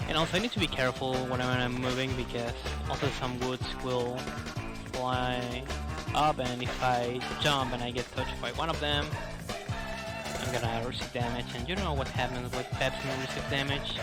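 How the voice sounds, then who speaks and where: A man's voice: medium pitch, steady tone, normal speed. A man giving casual live speedrun commentary in non-native English phrasing, with playful asides. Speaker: speedrunner commentating own run. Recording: loud game music behind. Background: loud video game music.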